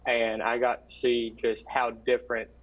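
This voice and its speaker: Young kentucky man, flat earnest voice. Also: mid-range voice, normal speed, low-quality recording. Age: young adult. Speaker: student guest. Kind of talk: interview.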